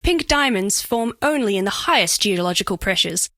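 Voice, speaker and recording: A woman's voice: medium pitch, lively intonation, moderate pace, pushed and bright, no echo, clean studio sound. A woman voicing a curious female alien crystal being for a dating game, speaking formally with stilted, precise, clinical phrasing and awkward flirtation. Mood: tender, affectionate. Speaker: video game voice actress. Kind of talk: scripted dating-game character voice lines.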